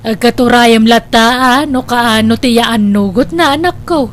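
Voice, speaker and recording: A woman's voice: a little high, a little slow, a little hiss or hum, close to an everyday voice. A woman with an Ilocano accent playing a reluctant, stubborn young girlfriend in a comedy drama. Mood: defensive. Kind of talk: comedy radio-style drama acting.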